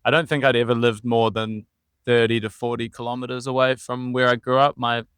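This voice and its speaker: A young man with a New Zealand accent, a podcast guest telling his travel story in a relaxed, meandering way with frequent ums. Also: mid-range voice, a little fast, smooth, dry recording, studio quality. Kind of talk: casual interview chat.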